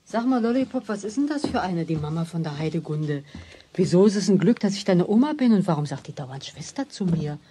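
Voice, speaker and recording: An actress playing a gruff, sceptical old grandmother with a husky voice in a children's radio play. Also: on the low side, animated, brisk, mellow, a little hiss or hum, close to an everyday voice. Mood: puzzled, grumbling.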